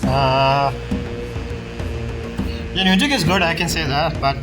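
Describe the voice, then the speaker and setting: A man's voice: on the high side, slow pace, rough recording. A man streaming a video game, giving casual commentary in short, muttered reactions. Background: game music.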